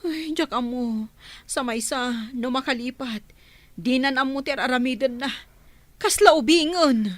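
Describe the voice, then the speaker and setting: A woman's voice: high-pitched, unhurried, long pauses, no echo. A woman playing an anxious, talkative younger sibling in a radio drama, with an Ilocano accent. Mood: eager, anxious.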